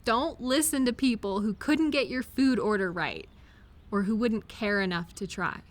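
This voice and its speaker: A woman playing an affectionate, reassuring friend or partner in an intimate comfort audio roleplay, speaking gently and directly to the listener. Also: mid-range voice, normal speed, close to an everyday voice. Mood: encouraging, tender.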